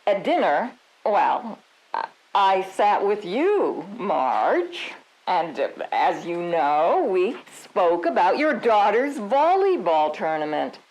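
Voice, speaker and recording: Prim, evasive committee woman, lively pitch. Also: slow, rough recording. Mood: chatty, coy. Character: evasive, chatty suburban committee woman testifying, prim and defensive. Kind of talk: audio drama testimony.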